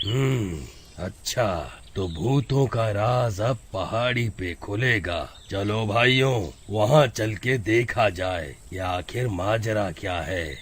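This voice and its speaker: A man voicing a calm, wise male village headman who gives steady commands and moral lessons. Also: lowish voice, takes it slowly, hardly any pauses, slight background noise. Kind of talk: animated story voice acting.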